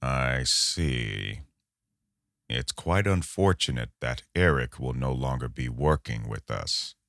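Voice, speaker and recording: A man acting a condescending, intimidating boss, very deep and slow. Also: animated, vocal fry, fairly bright tone, no room sound, studio quality, exaggerated voice. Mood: falsely friendly. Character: menacing, smooth-talking boss recruiting with veiled threats. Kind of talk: audio drama acting.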